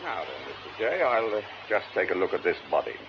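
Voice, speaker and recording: A man's voice: low-pitched, sing-song, exaggerated voice. A male actor playing an officious but kindly police sergeant in a radio drama, matter-of-fact and firm. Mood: businesslike. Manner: matter-of-fact, unhurried authority. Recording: dull radio recording with clear noise.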